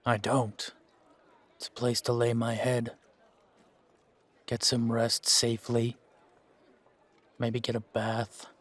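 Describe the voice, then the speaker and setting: Male actor as gruff monster hunter, fantasy audio roleplay, flat intonation, slow delivery, wry, aloof, laconic. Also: mid-range voice. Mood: dry, weary. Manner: deadpan, unhurried, laconic.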